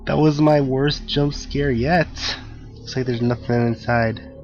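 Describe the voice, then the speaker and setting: A man hosting a horror game playthrough, gravelly and a bit hoarse from allergies, joking and talking back to the game. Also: mid-range voice, steady tone, measured pace, darkish tone. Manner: jokey, talks to the game, gasps at scares. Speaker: gaming channel host. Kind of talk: casual horror game commentary. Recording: dull lo-fi audio with game music. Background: game music.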